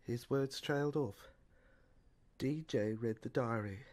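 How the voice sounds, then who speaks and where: A woman narrating an audio serial in a halting, incantatory way, drawing out short phrases dramatically. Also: very deep voice, a little mellow.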